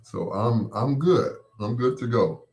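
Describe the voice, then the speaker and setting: A man's voice: mid-range voice, lively intonation, slow pace, darkish tone. A man hosting a show solo, rambling casually to his listeners in a folksy, playful, anecdotal way. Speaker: host of a late-night show.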